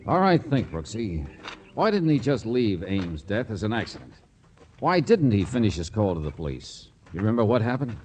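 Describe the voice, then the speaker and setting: A man playing a tough, sharp-tongued private detective in an old American radio drama, needling and insistent. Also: fairly low pitch, very animated, normal speed. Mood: calmer, explaining. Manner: hard-boiled, needling, insistent. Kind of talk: 1940s-50s American radio drama acting. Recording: vintage radio drama recording.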